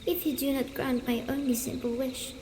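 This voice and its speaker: A woman's voice: high-pitched, fairly even intonation, normal speed, a little mellow, reverberant, in a large hall, a little hiss or hum, pitched above the performer's own voice, natural voice. A woman voicing the menacing, commanding ghost of a grown woman in an ASMR horror story. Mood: commanding, threatening. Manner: commanding, eerie.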